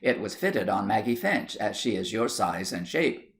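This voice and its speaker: A man's voice: fairly low pitch, sing-song, normal speed. A man voicing a placid, stolid husband in a storybook tale, dry and matter-of-fact. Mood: mildly defensive.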